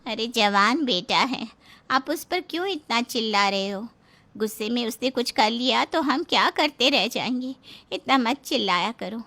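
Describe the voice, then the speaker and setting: A woman plays an outspoken wife and daughter-in-law in a melodramatic story. Her voice sits high, and she pleads and argues protectively against a household bully. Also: animated, normal speed, pushed and bright, heavily acted. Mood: pleading, fearful. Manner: pleading yet argumentative. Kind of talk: melodramatic audio story dialogue.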